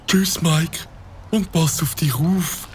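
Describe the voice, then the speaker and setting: A learned, wordy bird-watcher from a cartoon, lecturing with a Swiss accent. He talks slowly and pompously in a fairly high voice, with soft noise under the track. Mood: earnest. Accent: Swiss German. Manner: pompous, long-winded lecturing.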